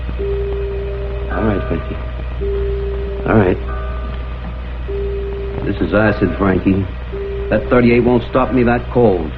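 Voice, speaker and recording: A man's voice: lowish voice, huge pitch swings, slow pace, long pauses, full character voice. A man with an American accent playing a tough, dogged detective in a vintage noir drama, speaking and narrating in a terse, hard-boiled way. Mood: defiant. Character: tough, dogged male photographer detective, wry and stubborn. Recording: thin, tinny vintage broadcast, music behind.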